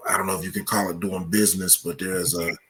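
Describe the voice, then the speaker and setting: A man explaining his research as an interview guest, with halting, measured phrasing. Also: sits low, level tone, noisy background.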